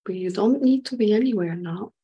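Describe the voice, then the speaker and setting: A woman leading an unscripted guided meditation in short halting phrases, with a breathy voice that sometimes nears a whisper. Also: medium pitch, dull audio.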